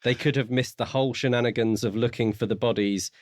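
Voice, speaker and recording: A man co-hosting a podcast in a casual book discussion, talking in a measured, explanatory and courteous way. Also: mid-range voice, fairly even intonation, a little mellow, no echo, studio quality. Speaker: podcast co-host.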